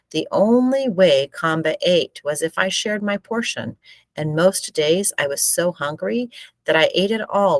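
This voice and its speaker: A woman reading a book aloud as expressive, measured storybook narration. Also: low voice, dry recording.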